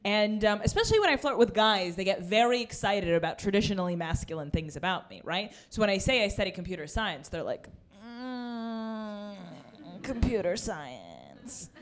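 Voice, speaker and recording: A woman in her twenties from Russia, doing a stand-up comedy set with a deadpan, ironic delivery and quick asides. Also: mid-range voice. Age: 20s. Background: audience laughter.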